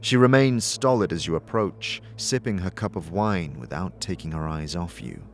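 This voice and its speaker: A man's voice: low-pitched, animated, normal speed, slight background noise. A man narrating a scripted second-person story for an audio drama.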